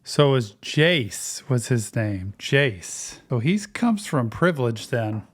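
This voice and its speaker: A man's voice: mid-range voice, lively intonation, slow pace, soft background music. A male psychotherapist giving casual, thoughtful, analytical commentary while reacting to a show.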